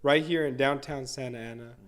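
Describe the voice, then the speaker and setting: A young man hosting an interview in a casual, friendly way, encouraging his guest, whose "you know" asides tail off quietly. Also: mid-range voice, steady tone, a little fast. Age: young adult. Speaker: interview host.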